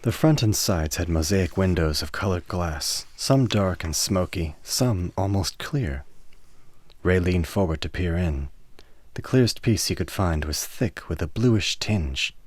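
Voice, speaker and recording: Low-voiced male radio play narrator. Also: lively intonation, measured pace, no room sound.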